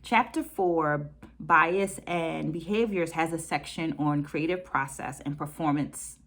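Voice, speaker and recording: Woman, American accent, middle-aged, low voice, measured educator's delivery, reflective book-review vlog. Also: a bit bright. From United States. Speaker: dance educator hosting a book discussion.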